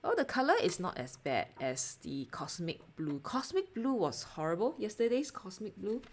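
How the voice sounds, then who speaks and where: A young adult woman with a Singaporean accent, chatting casually on a livestream as she draws, in a halting, self-deprecating, giggly manner. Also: medium pitch, sing-song, breathy edge. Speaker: art livestreamer. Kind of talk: casual livestream chat while drawing.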